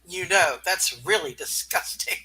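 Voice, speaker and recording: A man's voice: high voice, a little slow, pushed and bright, slight background noise, poor audio. A man reading comic-book dialogue aloud in a casual amateur style.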